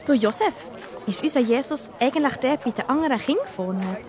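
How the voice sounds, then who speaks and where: A loving, anxious mother in a children's radio play. Her voice is high and expressive, with a Swiss German accent. An outdoor crowd surrounds her. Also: a little fast. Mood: uneasy. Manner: emotional, expressive. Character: Maria, anxious loving young mother of Jesus. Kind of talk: children's audio drama acting.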